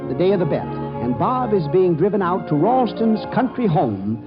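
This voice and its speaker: Male host-narrator, high animated delivery, scripted vintage radio style, music behind, muffled. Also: moderate pace.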